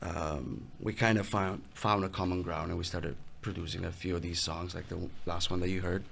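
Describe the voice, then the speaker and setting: This is a young man with an Italian accent, answering interview questions about his music. His voice sits low and has a gravelly edge. He talks in a relaxed, halting way, often trailing off and restarting. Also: slight background noise. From Italy. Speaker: guest musician. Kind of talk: casual interview.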